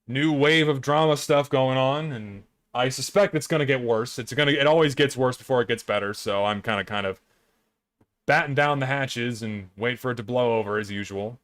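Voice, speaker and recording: A hoarse, tired young man chatting casually on a live stream, rambling and blunt with plenty of swearing. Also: fairly low pitch, fairly bright tone, no room sound.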